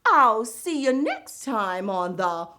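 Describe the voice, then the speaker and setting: A woman playing an exuberant, giggly, theatrical lady vocabulary teacher on a children's show, with whoops and drawn-out emphasis. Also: fairly high pitch, big pitch swings, sharp tone, exaggerated voice. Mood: gleeful. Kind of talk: children's show word segment.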